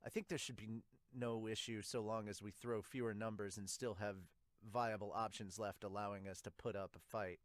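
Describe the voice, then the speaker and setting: Man as a quiet, serious young catcher. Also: on the low side, steady tone, moderate pace, few pauses, no echo, studio quality, lightly acted. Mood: matter-of-fact. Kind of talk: amateur manga dub.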